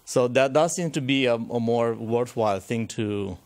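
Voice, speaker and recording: A hoarse man in the audience of a technical conference, asking a long, challenging question, full of fillers and restarts. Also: medium pitch, normal speed, darkish tone.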